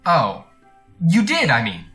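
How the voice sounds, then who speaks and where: A man's voice: a little high, huge pitch swings, slow, sharp tone, soft background music, exaggerated voice. A man playing a pompous, evasive salesman husband in retro radio drama style, with formal diction that turns to stammering when flustered. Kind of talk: retro 1940s radio comedy-thriller acting.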